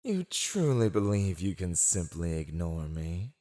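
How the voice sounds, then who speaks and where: Smug evil villain for asmr rp, slow teasing drawl, super animated, mocking and gloating. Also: male voice, low-pitched. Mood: menacing, taunting. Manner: teasing, condescending, theatrical drawl. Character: silver-tongued male fantasy villain, gloating, mocking captor. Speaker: voice actor. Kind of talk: ASMR roleplay, scripted villain monologue.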